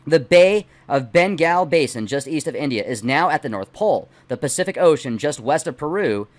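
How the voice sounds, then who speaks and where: A middle-aged man, not a native English speaker, hosting and reading aloud in deliberate, emphatic phrases with casual asides. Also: on the high side, expressive. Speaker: host reading a book aloud and commenting. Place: small dim indoor home studio.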